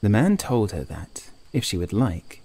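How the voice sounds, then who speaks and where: A man reading a scripted first-person horror story as an audiobook narrator, in a measured, literary way. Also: on the low side, very animated, gravelly, warm tone, breathy edge.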